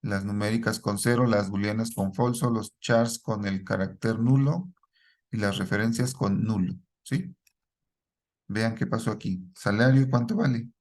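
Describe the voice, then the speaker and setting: A middle-aged man teaching a programming class, quizzing students and checking in with a quick "¿sí?" after each point. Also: fairly low pitch, a little mellow. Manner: asks the class questions and repeats their answers, ends lines with a checking "¿sí?". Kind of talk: live classroom lesson.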